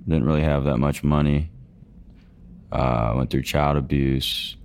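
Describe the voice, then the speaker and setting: Very deep-voiced quiet man. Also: fairly even intonation, a little slow, gravelly. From Georgia, US. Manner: quiet, brief and understated. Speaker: country singer. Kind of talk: interview answers.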